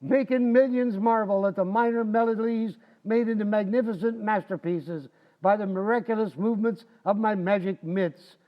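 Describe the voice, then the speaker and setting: A boastful, cheerfully clueless husband out of old-time radio comedy, played by a man. He talks in folksy slang with a high-pitched voice. The sound is slightly muffled and dull. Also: normal speed, natural voice. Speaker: amateur actor in a community playhouse radio recreation.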